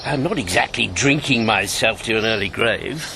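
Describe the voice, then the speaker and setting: Jovial old rogue, very lively intonation. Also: male voice, on the low side, normal speed, exaggerated voice. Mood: flirtatious, wry. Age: 70s. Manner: chuckling, roguish, theatrical. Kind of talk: radio drama acting. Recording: radio drama with clear background noise.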